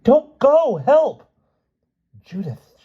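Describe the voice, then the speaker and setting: Guy doing a scared stubborn little girl, voice pushed way up high, audiobook style. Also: sing-song, very slow, breathy edge, narrow, tinny audio, exaggerated voice. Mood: screaming, panicked. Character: frantic young girl screaming defiantly for help.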